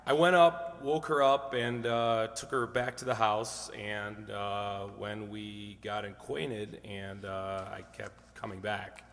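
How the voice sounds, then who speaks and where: A man's voice: on the low side, steady tone, slow, hardly any pauses, fairly bright tone. A man playing a plain-spoken married salesman as a hesitant, rambling contestant on an old-time radio quiz. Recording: long hall reverb.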